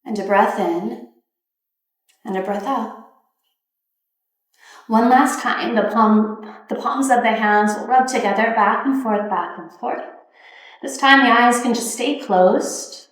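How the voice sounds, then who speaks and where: A woman leading a guided meditation, giving gentle, soothing instructions in an unhurried way with pauses. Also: on the high side. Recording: very echoey, reverberant room.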